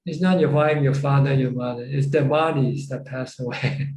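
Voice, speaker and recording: A male Buddhist teacher with a Thai accent speaks in a very mellow, even voice with little rise and fall. He teaches informally, repeating and restarting phrases. The call has a strongly echoing, roomy sound. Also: medium pitch, slight background noise. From Thailand. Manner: repetitive, halting phrasing. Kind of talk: informal Dhamma teaching with questions. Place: Zoom call.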